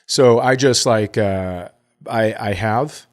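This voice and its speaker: Animated man comedian co-hosting a podcast. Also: slow pace. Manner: animated, jumps up in pitch when storytelling. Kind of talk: casual chat.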